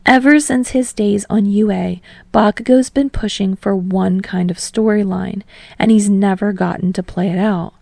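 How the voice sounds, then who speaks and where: A woman's voice: mid-range voice, fairly even intonation, moderate pace, creaky, darkish tone, no room sound. A woman reading scripted fiction aloud as an audiobook narrator.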